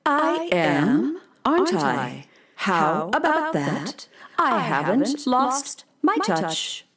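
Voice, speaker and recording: A woman's voice: on the high side, sing-song, drawn-out words, a crowd in the background, exaggerated voice. A woman playing a lonely, self-doubting navigation computer of an abandoned spaceship in a children's radio play. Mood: pleasantly surprised. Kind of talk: children's radio theater acting. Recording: distant voice under loud music.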